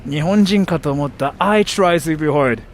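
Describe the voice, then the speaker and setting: A man's voice: on the high side, brisk, slight background noise. A young American man on a casual live stream, joking about himself and thanking viewers for donations. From United States. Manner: joking, self-mocking, reads chat aloud.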